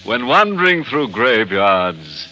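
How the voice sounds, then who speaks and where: A man playing a slyly gleeful, ghoulish horror host on an old radio mystery show, savoring macabre puns in a drawn-out, sinister delivery. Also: medium pitch, big pitch swings, sharp tone, lo-fi sound, slight background noise, full character voice. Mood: sardonic, gleeful. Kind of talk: 1940s-50s radio horror hosting. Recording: vintage radio broadcast with music.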